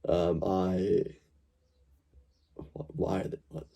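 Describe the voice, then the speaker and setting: Mellow-voiced streamer. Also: male voice, sits low, level tone, slow pace, gravelly, husky. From Glasgow, Scotland. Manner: rambling, joking, swears freely. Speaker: livestream host. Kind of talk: casual live-stream commentary.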